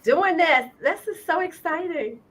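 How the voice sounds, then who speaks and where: A woman co-hosting a podcast who chimes in often with quick agreement. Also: very high-pitched, animated, a little hiss or hum. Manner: frequent agreeing interjections. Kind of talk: conversational interview.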